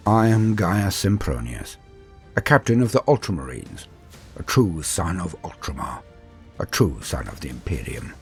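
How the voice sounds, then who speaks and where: A man playing a proud, coldly resolute veteran space marine captain in a solemn, measured sci-fi war monologue with dramatic pauses. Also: sits low, very animated, a little mellow, soft background music. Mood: proud, defiant.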